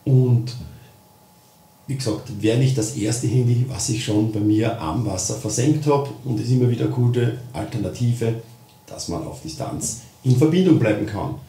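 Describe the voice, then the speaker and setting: A man hosting a casual product review, speaking deliberately with emphasis on key words. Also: mid-range voice, mellow. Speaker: host of a fishing channel. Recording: roomy echo, distant microphone.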